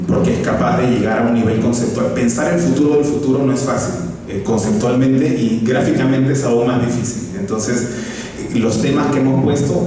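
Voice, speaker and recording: A man's voice: fairly low pitch, mellow, a little hiss or hum. A man, a comic book writer speaking on a conference panel, hesitant, with many fillers and restarts. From Peru. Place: conference hall. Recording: echoey hall, voice from far off.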